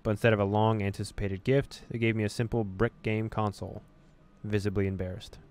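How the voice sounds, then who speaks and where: A man's voice: lowish voice, flat delivery, fairly quick, a little mellow, clean tone. A man hosting a gaming video, reading on-screen story text aloud in a dramatic way with casual reactions.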